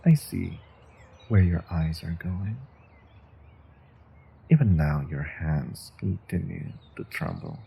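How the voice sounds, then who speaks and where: A man's voice: deep voice, lively intonation, slow, creaky, long pauses, mellow, husky, heavily acted. A man playing a menacing, condescending evil sorcerer in a fantasy audio roleplay, speaking to a captive with theatrical deliberation. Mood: menacing, taunting. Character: menacing, controlled evil male sorcerer, captor taunting prisoner. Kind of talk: scripted fantasy audio roleplay, intimate second-person monologue.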